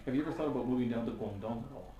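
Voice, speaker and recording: A man hosting a podcast, chatting casually with quick interjections and short laughs. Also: medium pitch, muffled recording. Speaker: podcast host. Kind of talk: casual conversation. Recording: echoey room with faint noise.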